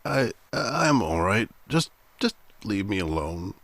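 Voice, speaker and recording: A man's voice: fairly low pitch, sing-song, slow pace, fairly bright tone, dry recording, heavily acted. A man playing a blustering coward cracking with fear in a 1940s-style radio drama, stammering, accusatory and melodramatic. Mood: shaken, defensive. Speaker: voice actor.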